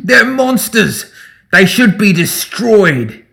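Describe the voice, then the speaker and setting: A man's voice: high-pitched, big pitch swings, takes it slowly, gravelly, pushed and bright, faint music behind, pitched above the performer's own voice, exaggerated voice. A grizzled male firebrand from a fantasy audiobook, acted out by a male narrator. He orates in a furious, raised roar, spluttering with outrage. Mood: screaming anger. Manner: roaring, spluttering oratory. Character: grizzled furious male rabble-rouser, slum spokesman.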